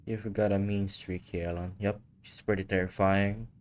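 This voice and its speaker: A man's voice: fairly low pitch, level tone, normal speed, a little hiss or hum. A man livestreaming a video game, giving casual commentary and reacting to the story as it plays. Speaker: game streamer. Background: game music.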